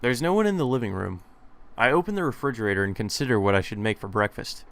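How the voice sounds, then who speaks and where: A man's voice: lowish voice, lively intonation, quick pace, fairly bright tone. A man reading game text aloud as a let's player, informally, with casual asides and some stumbles. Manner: informal, stumbles and rereads lines. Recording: game music behind the voice.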